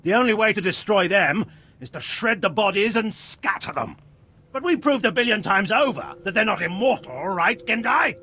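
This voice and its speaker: Haughty, animated imperial admiral. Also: male voice, high voice, normal speed. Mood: ruthless, taunting. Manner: formal, authoritative, haughty. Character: haughty male imperial admiral, commanding and ruthless. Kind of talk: video game cutscene acting. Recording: game music behind the voice.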